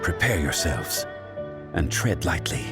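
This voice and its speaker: An actor playing a composed, scholarly leader in a video game, speaking in a formal, measured and authoritative way. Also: male voice, rumbling low, big pitch swings, heavily acted. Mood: grave, composed. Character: composed, dignified, scholarly man, a calm commanding leader. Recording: loud game music underneath. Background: loud music.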